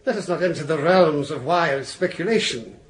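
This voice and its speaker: Actor as theatrical defence lawyer guy, high voice, very animated pitch, pointed n courteous, radio drama. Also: moderate pace, lightly acted. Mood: sardonic, forceful. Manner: rhetorical, courteous but pointed. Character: eloquent, theatrical male defence barrister, shrewd and persuasive.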